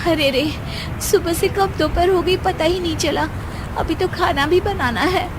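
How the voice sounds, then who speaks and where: A woman acts a young Indian daughter-in-law in a cartoon tale. Her voice is high, dutiful and anxious, meek in replies and fretting to herself. Clear urban noise sits behind her. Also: a little slow. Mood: dismayed. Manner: meek when answering, fretful when muttering to herself. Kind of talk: acted Hindi cartoon moral story.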